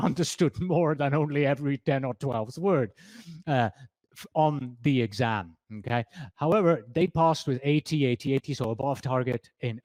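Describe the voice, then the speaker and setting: A man's voice: a little high, darkish tone, no room sound. A man from Switzerland with a Swiss German accent, presenting an exam prep lesson in a chatty way, reading quotes from his slides and correcting himself as he goes.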